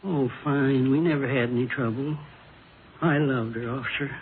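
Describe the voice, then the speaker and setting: An actor playing a grieving, bewildered widower past sixty-five, polite and halting, in a vintage radio drama. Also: male voice, medium pitch, fairly even intonation, natural voice. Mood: earnest. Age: over sixty-five. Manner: halting, polite, plain folksy speech. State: shaken with grief. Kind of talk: 1950s radio drama acting. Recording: tinny, noisy vintage radio recording.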